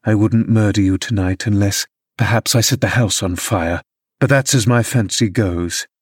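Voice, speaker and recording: A man's voice: on the low side, normal speed, slightly rough, no echo, studio quality. A man voicing a drunken, violent young father who rages and curses. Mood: bitter. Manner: raging, blustering.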